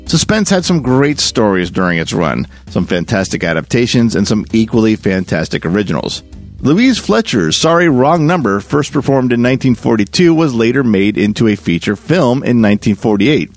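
A man's voice: mid-range voice, big pitch swings, moderate pace. A man hosting a podcast, reading a scripted introduction in a presentational, announcer-like way. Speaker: podcast host. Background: music.

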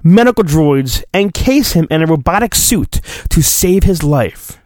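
Hammy, slow-paced man reading a storybook. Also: a little high, lively intonation, a little mellow, no echo. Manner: hammy, theatrical, drawn-out phrasing; goofy, rambling asides. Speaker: podcast host reading a storybook aloud. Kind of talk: mock-dramatic storybook reading with joking asides.

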